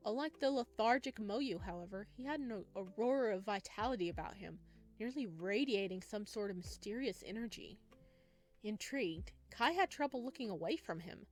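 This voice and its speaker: A woman reads visual novel narration aloud as a let's play host, dropping in casual reactions between lines. Her pitch sits in the middle for a woman, and music plays behind her voice. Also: lively intonation, moderate pace. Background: background music.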